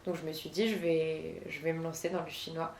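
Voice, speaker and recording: A woman's voice: deep voice, level tone, brisk, vocal fry, darkish tone, roomy sound, slight background noise. A woman in her twenties from southern France, answering interview questions as a guest with many hesitations. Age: 20s.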